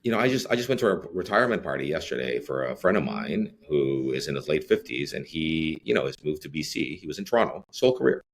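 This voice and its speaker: Middle-aged man from Greece, very low voice, loose rambling podcast guest full of fillers. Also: very animated, fast, vocal fry, hardly any pauses. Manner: rambling, many fillers and restarts. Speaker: real estate CEO guest. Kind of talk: podcast interview.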